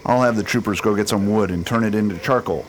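A man's voice: on the low side, fast talker, natural voice. A man playing a pragmatic, authoritative leader of a space exploration team in a science fiction radio drama. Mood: brisk, businesslike.

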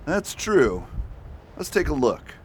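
A man playing a determined U.S. marshal in an amateur radio drama, steady and plain-spoken. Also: fairly high pitch, slow, slight background noise. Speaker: voice actor.

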